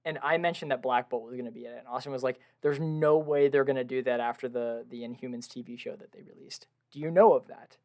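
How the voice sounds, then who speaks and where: A man's voice: medium pitch, steady tone, fast talker, hardly any pauses, a little mellow. Young male podcast co-host, enthusiastic fan, gushing and laughing. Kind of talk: casual film review chat. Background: washing machine at home.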